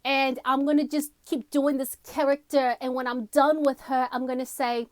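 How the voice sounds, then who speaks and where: A woman's voice: high voice, flat delivery, normal speed, no echo, studio quality, pitched above the performer's own voice, close to an everyday voice. A woman voicing a sweetly polite, simple bank customer as a comedy sketch character. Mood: chirpy, polite.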